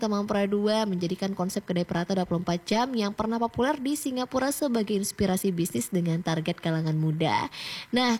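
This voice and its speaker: Lively young woman radio announcer. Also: medium pitch. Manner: lively, chatty, often addressing listeners directly. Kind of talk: scripted radio segment reading. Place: radio studio.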